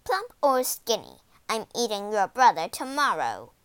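A woman voicing a sly, greedy, short-tempered old witch in a children's storybook, with a croaky, commanding voice. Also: fairly high pitch, wildly swooping pitch, slow, bright, forceful tone, dry recording, full character voice. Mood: angry, bossy.

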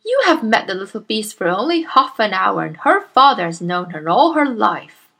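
A woman playing a booming, bullying headmistress. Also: big pitch swings, normal speed, creaky, full character voice. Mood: bellowing scorn. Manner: barking, scornful, shouting. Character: loud bullying giant female headmistress.

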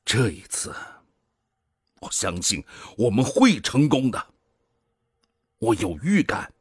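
Sci-fi radio drama, ambitious middle-aged commander: slow, husky, authoritative, coldly persuasive. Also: male voice, medium pitch, big pitch swings, dry recording, studio quality, full character voice. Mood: persuasive, earnest. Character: cold, ambitious male project commander, middle-aged, coaxing and self-justifying. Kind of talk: Chinese science-fiction radio drama acting.

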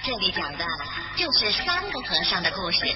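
A woman storyteller narrating a scripted children's bedtime tale in an expressive style. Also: mid-range voice, fairly quick. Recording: dull roomy recording with music behind.

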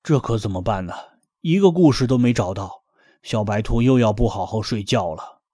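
A man voicing an eager, polite rabbit father in a bedtime story, asking quickly and earnestly. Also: lowish voice, lively intonation, dark tone, dry recording, clean studio sound.

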